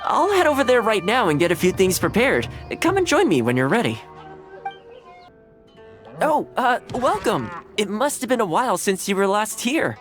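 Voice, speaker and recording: A man's voice: high voice, wildly swooping pitch, normal speed, fairly bright tone, soft background music, full character voice. A man playing a friendly, easygoing young male housekeeper in a video game, casual, courteous and modest in manner. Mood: welcoming, modest. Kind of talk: English video game voice acting.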